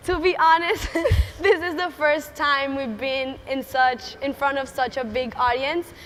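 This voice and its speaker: High bright Venezuelan-accented teen woman. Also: steady tone, unhurried, a little hiss or hum. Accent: Venezuelan Spanish. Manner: nervous, giggly, earnest. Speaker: student entrepreneur speaker. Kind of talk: prepared conference talk. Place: large conference hall stage. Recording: reverberant hall with faint ambience.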